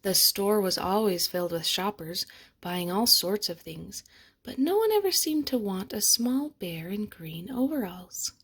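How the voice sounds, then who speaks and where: A woman narrating a children's picture book aloud in an expressive storytelling manner. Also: medium pitch, a little slow, husky. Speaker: storybook reader.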